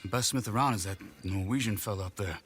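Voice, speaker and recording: An actor playing a steady, protective frontier gunman, direct and no-nonsense, in a game cutscene. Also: fairly low pitch, quick pace, slight background noise, close to an everyday voice. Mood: urgent. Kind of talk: dramatic game cutscene acting.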